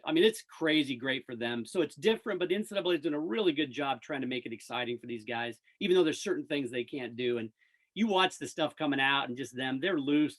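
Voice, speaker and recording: An American man, a radio play-by-play announcer, chatting casually and upbeat as a guest in a sports interview. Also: on the high side.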